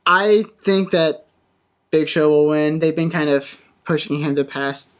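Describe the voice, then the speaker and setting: Podcast panelist just chatting, casual, middle pitch voice. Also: measured pace. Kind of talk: casual chat.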